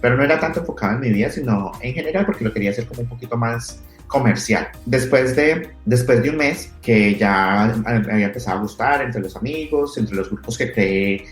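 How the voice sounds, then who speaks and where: A man in his thirties with a Colombian accent, an entrepreneur telling his story in a relaxed interview with frequent restarts. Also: medium pitch, a little fast, few pauses. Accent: Colombian Spanish. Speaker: entrepreneur guest. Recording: echoey room with faint music.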